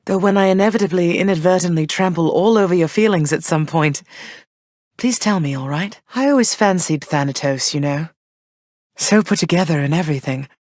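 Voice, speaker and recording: A woman voicing a gentle, thoughtful goddess queen and mother for a video game, speaking in a soft, measured, slightly formal way. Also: fairly low pitch, no room sound, clean studio sound, close to an everyday voice. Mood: tender. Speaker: voice actress for a video game character. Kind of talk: recorded game voice lines.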